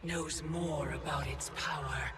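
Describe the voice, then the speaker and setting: A woman voicing an imperious Titan of time, a haughty, coldly menacing game villain who speaks in deliberate, formal phrases. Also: deep voice, fairly even intonation. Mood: haughty challenge. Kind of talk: dramatic video game acting. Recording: loud music behind.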